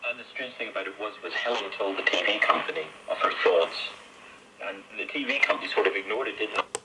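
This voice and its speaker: A man's voice: fairly low pitch, fast. A middle-aged man telling his personal story as a guest in a radio interview, heard over shortwave radio. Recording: very noisy, crackly shortwave radio reception.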